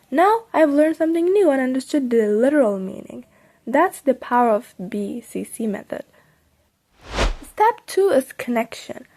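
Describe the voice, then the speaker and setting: A young woman, a native Persian speaker, explains study tips in a chatty, upbeat way that jumps up in pitch when she gets excited. Her voice sits a little high but has a mellow, dark tone with a gravelly rasp at the ends of phrases. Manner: upbeat and chatty, with sudden bright lifts in pitch. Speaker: vlogger teaching Quran study tips. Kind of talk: casual instructional vlog.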